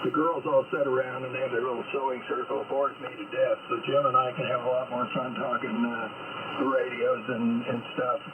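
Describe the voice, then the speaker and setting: A man's voice: mid-range voice, little pitch movement, normal speed. Male ham operator, casual rambling chat, tinny radio line with hiss. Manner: rambling, many fillers.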